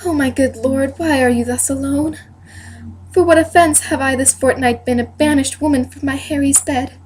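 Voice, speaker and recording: A woman's voice: high-pitched, fairly even intonation, normal speed, a little mellow, lightly acted. A young woman playing an anxious, loving noblewoman who pleads earnestly with her distant husband in Shakespearean verse. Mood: worried, pleading. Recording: noisy amateur home recording.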